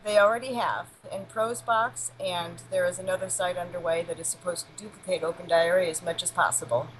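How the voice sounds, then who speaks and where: A woman's voice: on the low side, steady tone, a little fast, slight background noise, rough recording. A middle-aged woman as an interview guest, talking casually and bluntly in a matter-of-fact way. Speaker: interview guest, palm reader. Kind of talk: casual interview, personal storytelling.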